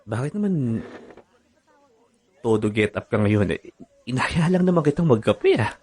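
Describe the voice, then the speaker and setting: A man's voice: mid-range voice, very animated, a little slow, darkish tone, husky, dry recording. A man with a Filipino accent playing an earnest, hurt young boyfriend in a radio drama. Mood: subdued. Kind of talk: radio drama acting with first-person narration. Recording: music bed under the voice.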